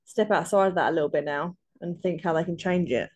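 A woman in her thirties, a fantasy author, talking casually as a podcast guest, often pausing and restarting. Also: lowish voice, a little mellow. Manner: hesitant, many ums and restarts. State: recovering from migraine. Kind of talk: casual interview.

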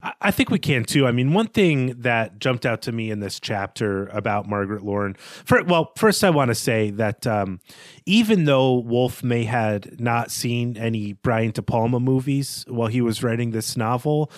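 A man's voice: medium pitch, expressive, moderate pace, no room sound. Man analyzing fiction informally, dry sound. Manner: informal, thinking aloud. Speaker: podcast co-host discussing a novel. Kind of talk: analytical conversational book discussion.

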